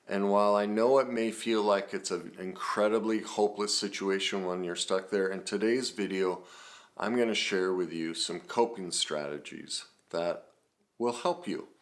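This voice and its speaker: A man's voice: sits low, moderate pace. A man giving self-help advice straight to the viewer, earnest and reassuring, building emphasis with repeated phrases. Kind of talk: direct-to-camera advice talk.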